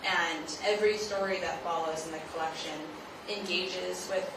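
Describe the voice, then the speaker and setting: A woman speaking as the guest author at a live question-and-answer event, thinking aloud in a halting way with plenty of hedges. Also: fairly low pitch, steady tone, gravelly. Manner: thoughtful, halting, hedging with frequent "like". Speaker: guest author at a book talk. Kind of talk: unscripted audience question-and-answer talk. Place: live event venue, picked up from afar. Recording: distant, very echoey, noisy live event recording.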